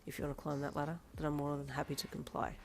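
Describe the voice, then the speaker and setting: A woman voicing a cocky, teasing young man in an audio story, speaking low and smug. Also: level tone, rapid-fire, gravelly.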